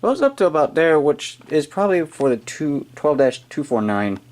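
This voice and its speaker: An American man giving a casual, halting, off-the-cuff hands-on product demonstration as he unboxes it on camera. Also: fairly high pitch, very animated, a little mellow. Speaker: amateur unboxing video maker. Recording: noisy amateur room recording with clicking.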